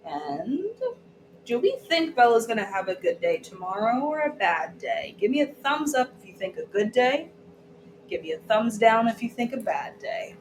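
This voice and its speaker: A woman teacher reading a children's story aloud, deliberately, pausing to put questions to her listeners. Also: fairly high pitch, big pitch swings. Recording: echoey room with background noise.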